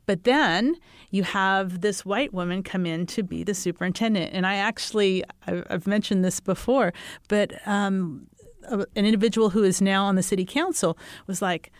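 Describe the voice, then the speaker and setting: A woman's voice: mid-range voice, normal speed, no echo. A woman superintendent speaking in a panel discussion, reflective and storytelling. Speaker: school superintendent.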